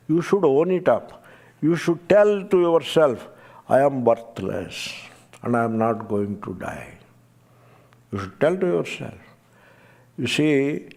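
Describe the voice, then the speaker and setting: A man with a gravelly, mid-pitched voice delivers a spiritual discourse. He speaks in a measured, emphatic way and sinks into quiet asides. He slows right down to chant old verses. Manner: chants scripture verses slowly. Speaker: spiritual teacher.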